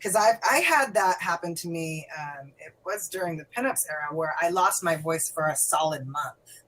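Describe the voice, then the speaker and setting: A middle-aged woman in loose, rambling conversation, her voice sitting a little low. She talks chattily and laughs often. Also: animated, normal speed, a bit bright, low-quality recording. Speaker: podcast host. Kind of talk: casual conversation.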